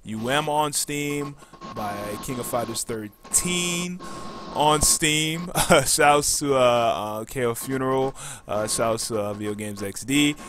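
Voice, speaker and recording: A man hosting a podcast casually, reading out announcements and often pausing mid-sentence. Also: mid-range voice, a bit bright, faint music behind. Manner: halting, frequent pauses mid-sentence. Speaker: podcast host.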